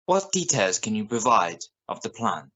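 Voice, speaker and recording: Measured, serious radio news anchor, played by a man. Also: on the low side, sing-song, sharp tone, exaggerated voice. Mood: probing, serious. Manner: formal, measured, probing. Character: serious, probing male evening news reporter of the future. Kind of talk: scripted radio news broadcast in a drama.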